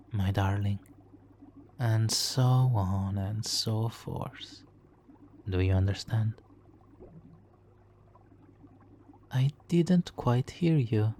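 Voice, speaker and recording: A man playing a smug, seductive supervillain hypnotist in an ASMR roleplay, speaking softly and theatrically with lapses into whispers. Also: fairly low pitch, slight background noise. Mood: smug, commanding.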